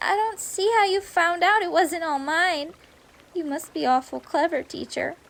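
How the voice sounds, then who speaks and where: A woman's voice: extremely high pitch, fairly even intonation, normal speed, a bit bright, pitched above the performer's own voice. A woman voicing an earnest, naive, tearful schoolgirl making a confession in an audiobook. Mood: tearful, defensive. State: tearful, sobbing. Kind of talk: audiobook character acting.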